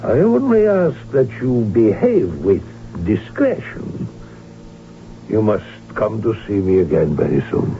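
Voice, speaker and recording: An actor playing an imperious, stubborn old emperor in a radio drama, halting and haughty in his delivery. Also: on the low side, big pitch swings. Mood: condescending, genial. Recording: muffled phone-like radio drama, clear hiss.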